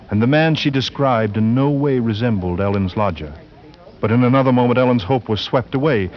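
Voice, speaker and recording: An actor playing the grave storyteller of a 1940s radio suspense drama, reading dramatic scripted narration. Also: male voice, mid-range voice, natural voice. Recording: muffled, noisy old 1940s radio recording.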